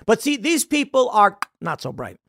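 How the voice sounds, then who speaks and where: A man's voice: sits high, big pitch swings, moderate pace, a bit bright, dry recording, clean studio sound. A man in his late thirties hosting political commentary, reacting to a video with sarcastic, mocking remarks. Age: 30s.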